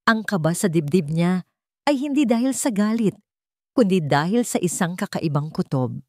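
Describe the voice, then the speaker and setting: A woman's voice: lowish voice, a little mellow, no room sound, clean studio sound. A woman narrating a scripted romance drama in a theatrical, suspenseful way. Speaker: story narrator.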